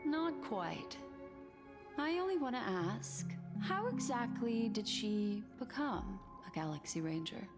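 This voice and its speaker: A woman's voice: on the high side, expressive, a little slow. A poised, probing mystery woman. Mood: coaxing. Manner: smooth, coaxing, questioning. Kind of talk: video game voice acting. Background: loud game music.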